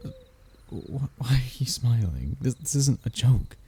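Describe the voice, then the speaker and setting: A man's voice: mid-range voice, big pitch swings, measured pace, warm tone, husky, full character voice. A man playing a young royal heir in a roleplay audio drama, formal and pompous in his wording but often faltering into nervous stammers as he addresses the listener. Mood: defiant, nervous.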